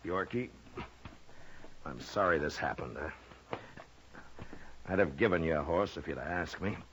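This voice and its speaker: An actor playing a calm, fatherly American frontier marshal in 1950s radio western style, speaking with measured, gentle authority. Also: extremely low pitch. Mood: gentle, reassuring. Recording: muffled, hissy 1950s radio recording.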